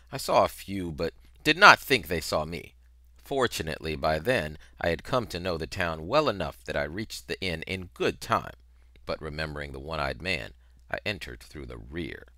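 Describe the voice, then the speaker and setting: A middle-aged man narrating a novel in an expressive, dramatic style, with emphatic, deliberate phrasing on a headset mic. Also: rumbling low, no room sound. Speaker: reader of a children's novel.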